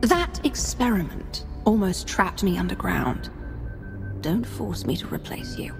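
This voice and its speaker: Casting: ruthless female Sith commander, imperious, lively pitch, dramatic game dialogue with music behind. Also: unhurried, vocal fry, heavily acted. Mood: menacing. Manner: imperious, threatening.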